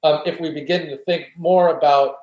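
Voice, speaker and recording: Earnest higher-voiced man in an echoey room. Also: fairly even intonation, fairly quick, muffled recording. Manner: earnest, argumentative, explanatory. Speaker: guest philosophy professor. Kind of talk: conversational interview discussion. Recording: roomy, echoey room sound.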